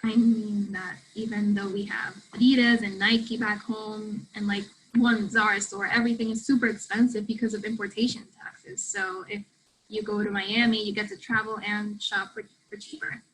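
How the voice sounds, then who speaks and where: A woman's voice: medium pitch, moderate pace, fairly bright tone, close to an everyday voice, poor audio. A woman in a play reading playing a blunt young South American student who talks in a casual, slangy, sweary ramble. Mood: chatty, rambling. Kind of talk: play reading, naturalistic conversational acting.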